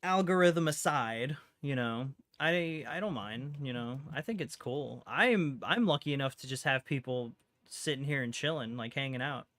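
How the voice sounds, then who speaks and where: A man streaming a game and chatting casually with viewers in a soothing, calm voice, rambling with lots of "like" and "you know". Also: medium pitch, moderate pace. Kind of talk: casual chat with stream viewers while gaming.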